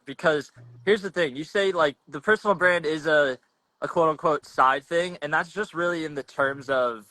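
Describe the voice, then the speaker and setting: Young male podcast host, slangy and easygoing, forceful bright tone, close dry sound. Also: on the high side, no echo, poor audio. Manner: laid-back, slangy, rambling with restarts. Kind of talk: casual chat.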